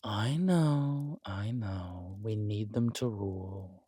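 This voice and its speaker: A man's voice: lowish voice, takes it slowly, warm tone, slightly rough, no room sound, clean studio sound. A man playing a scheming, flirtatious villain king in an intimate roleplay audio drama, with a languid, teasing, menacing delivery. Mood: reluctant, brooding.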